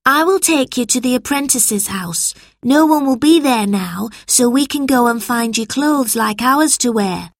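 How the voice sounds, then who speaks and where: A woman acts out a well-mannered schoolboy in a children's tale. He sounds earnest and a little anxious, with a raised pitch and a close, dry sound. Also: lively intonation, measured pace, few pauses, no room sound. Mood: earnest, urgent. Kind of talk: children's storybook character voice.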